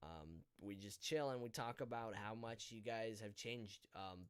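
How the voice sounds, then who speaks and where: A man hosting a casual live podcast over an online call, talking in a hesitant, rambling way with halting delivery. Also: lowish voice, clean tone. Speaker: podcast host.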